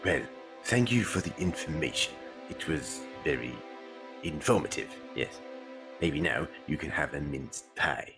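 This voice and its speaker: A man playing a gruff, suspicious alien warrior in an audio drama, gravelly, with a pompous, blunt and formal manner. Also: extremely low pitch, animated, unhurried, over music, exaggerated voice. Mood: curious. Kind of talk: fan audio drama acting.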